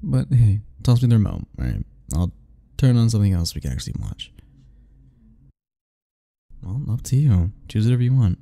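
A man's voice: fairly low pitch, sing-song, normal speed, pauses often, dark tone. A young man speaking a playful, teasing ASMR roleplay straight to the listener, laughing often between lines.

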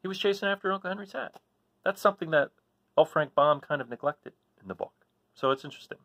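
Gravelly American man, halting casual chat. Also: a little high, a bit bright. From New Jersey, United States. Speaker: read-aloud host. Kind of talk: casual explanatory chat to children. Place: small living room, seated in an armchair.

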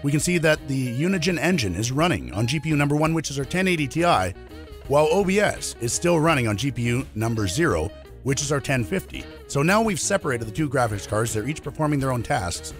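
A man in his thirties with a cold, hoarse voice, chatting through a tech tutorial step by step in a small home studio. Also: medium pitch, lively intonation, a little fast, faint music behind. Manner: chatty, explains step by step with fillers. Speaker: streaming tech YouTuber. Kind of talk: casual tech tutorial to camera.

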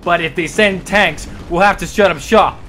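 An actor playing a dutiful soldier giving clipped military reports in a sci-fi audio drama. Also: male voice, sits high, normal speed, close to an everyday voice. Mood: dutiful, tense. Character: dutiful male soldier reporting to his commander. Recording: loud music and battle sounds behind.

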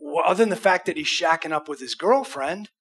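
American guy in his 60s preaching on stage, kinda high voice, chatty but punchy, asks lots of questions. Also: lively intonation, brisk, fairly bright tone, slightly rough. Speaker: pastor. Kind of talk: conversational sermon with acted examples. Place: church auditorium stage.

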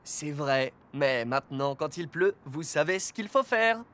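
A woman voicing a calm, earnest rescue car that patiently teaches safety in a French children's cartoon. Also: sits low, a little slow. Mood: patient, instructive. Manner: clear, patient, admonishing. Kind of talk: instructive French cartoon dubbing. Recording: loud music under the voice.

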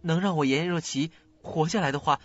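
A man plays a haunted young student in a radio thriller. The voice is fairly high and husky, with halting, dramatic phrasing. The recording is dull and lo-fi. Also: no echo, natural voice. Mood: pleading, fearful. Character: guilt-ridden, bitter student living with mother, haunted by calls. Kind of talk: suspense radio drama acting. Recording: dull lo-fi audio, faint music.

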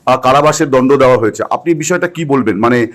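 A man's voice: mid-range voice, fast. A man conducting a news interview by phone, asking in a probing, pressing manner.

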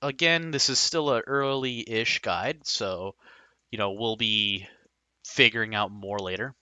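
Male game guide narrator, slightly hoarse, bright lively delivery, dry close recording. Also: on the low side, a little slow, no room sound, highs cut off. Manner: rambling, hesitant, frank opinions. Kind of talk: casual game guide commentary.

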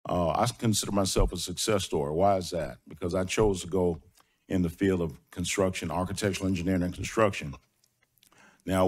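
A man's voice: sits low, darkish tone. A middle-aged man from Nashville speaking emphatically at a podium microphone in a large meeting hall, asking rhetorical questions and saying uh often. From Nashville, Tennessee. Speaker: public commenter, construction manager. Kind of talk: public comment speech.

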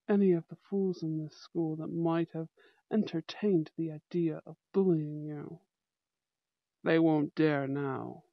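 A possessive teenage bad boy, acted by a woman, speaking slowly in an ASMR romance roleplay. He is commanding, teasing and intimate, on a dry, slightly dull recording. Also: low-pitched, no room sound, voice pushed down, exaggerated voice.

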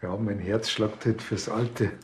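A man's voice: lowish voice, fairly even intonation, measured pace, a little hiss or hum, close to an everyday voice. An actor playing an easygoing, slightly self-important Bavarian policeman, folksy and reassuring, in a TV crime comedy. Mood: reassuring, chatty. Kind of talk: television crime comedy acting.

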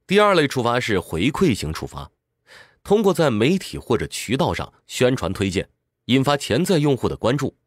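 A man's voice: medium pitch, very animated, moderate pace, no echo, studio quality. A man narrates a scripted explainer in a didactic way, posing questions and answering them. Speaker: audiobook summary narrator.

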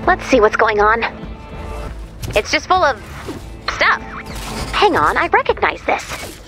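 A woman voicing a cheerful, chatty, excitable robot helper in a video game, with a perky, quirky delivery. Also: on the high side, slow pace, over music. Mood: puzzled, concerned. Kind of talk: video game mission dialogue.

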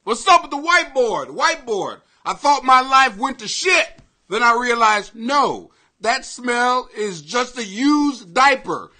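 High-pitched, forceful American comedian, a man. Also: measured pace. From Greenwich, Connecticut, United States. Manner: brash, profane, excitable, often shouting. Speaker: comedian and podcast host. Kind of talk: ranting comedy podcast monologue.

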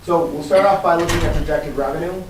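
A man's voice: on the high side, fairly even intonation, fast. Echoey, distant man presenting a budget. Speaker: school budget official presenting. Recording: echoey, distant mic, faint noise.

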